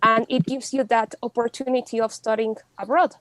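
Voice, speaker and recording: A woman's voice: a little high, steady tone, moderate pace, a bit bright, dry recording. A young woman from Mexico, a law student speaking as a podcast guest in casual, chatty talk full of fillers and restarts. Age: young adult.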